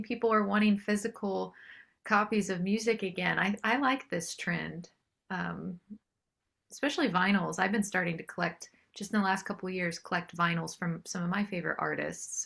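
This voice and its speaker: A woman being interviewed as a singer-songwriter, chatting casually and politely in a slightly tentative, creaky way. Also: fairly low pitch, moderate pace, fairly bright tone. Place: small home studio.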